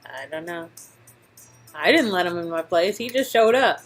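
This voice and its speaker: A woman co-reading a story game, chatting casually in a giggly, animated way. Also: on the low side, moderate pace, soft background music. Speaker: co-reader in a two-person game read-through. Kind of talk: casual banter.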